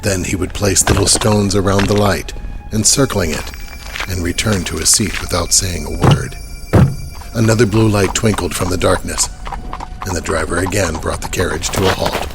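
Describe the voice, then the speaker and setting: A man's voice: low voice, fairly even intonation, normal speed, over loud music, poor audio. A man narrating a horror audio drama in a dramatic, scripted storytelling style.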